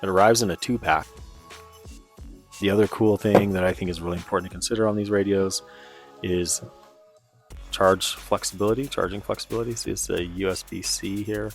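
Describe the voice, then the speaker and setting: A man's voice: low-pitched, fairly even intonation, a little fast, long pauses, faint music behind. A man giving a casual, informal product review in a conversational way. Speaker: presenter of radio gear review.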